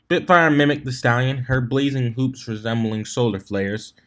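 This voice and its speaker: A man reading fan fiction aloud as an amateur narrator, expressive with the odd stumble. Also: medium pitch, normal speed. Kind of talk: amateur scripted story narration.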